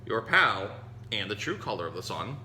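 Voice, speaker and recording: A man voicing a proud yellow crayon from a picture book, boastful and insistent in a rivalry. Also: lowish voice, sing-song, moderate pace, pitched below the performer's own voice. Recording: roomy echo, some noise.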